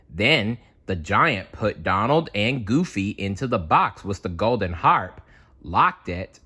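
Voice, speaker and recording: A man narrates a children's read-aloud with a very animated, bright voice. His delivery is playful and dramatic, unhurried, with a husky edge. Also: lowish voice. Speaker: storyteller.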